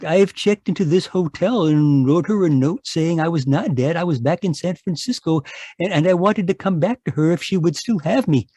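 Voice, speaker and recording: Give this radio mystery actor's part of a nervous, wronged husband who stammers and hesitates as he pleads. The man's voice sits fairly high, soft-edged and mellow, recorded dry. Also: moderate pace, no room sound, lightly acted. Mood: earnest, hopeful. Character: anxious, wronged husband seeking his wife and fearing murder. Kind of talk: old-time radio mystery acting. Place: home, live video call performance.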